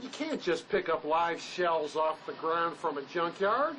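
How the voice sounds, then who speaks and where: A man's voice: a little high, very animated, measured pace, sharp tone, exaggerated voice, rough recording. An actor playing an anxious, protective male ex-con in a drama, earnest, fussing and full of questions. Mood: suspicious. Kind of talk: dramatic script performance. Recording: faint background noise.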